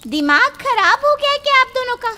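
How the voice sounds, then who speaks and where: Woman acting as high, sharp, fretful village wife. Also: sing-song, fairly quick, full character voice. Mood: scolding, indignant. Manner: fretful, scolding. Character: anxious, scolding village wife. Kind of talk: Hindi horror audio story acting. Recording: reverberant, faint noise.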